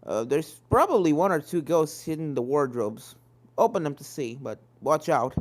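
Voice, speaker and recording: Woman as a male spirit pony mentor, hearty, encouraging, animated storybook delivery, music underneath. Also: low voice, normal speed. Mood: cautious.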